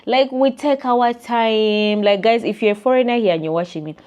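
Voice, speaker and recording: A young woman with a Ugandan English accent, ranting animatedly to camera in a casual vlog and mimicking people in squeaky voices. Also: moderate pace, darkish tone, lo-fi sound. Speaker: vlogger. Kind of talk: casual chat rant to camera.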